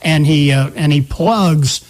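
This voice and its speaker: A man's voice: on the high side, slow pace, a little mellow. A man hosting a live talk radio show, chatting conversationally on a close studio microphone.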